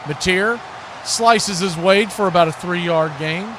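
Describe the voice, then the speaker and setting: High-voiced man calling football over noise. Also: takes it slowly. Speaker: play-by-play sports announcer. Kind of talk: live radio football commentary.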